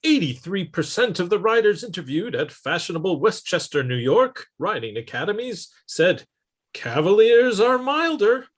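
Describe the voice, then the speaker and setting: A man's voice: sits high, big pitch swings, moderate pace, slightly rough. A man reading a 1950s-style radio commercial with emphatic sales delivery. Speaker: announcer.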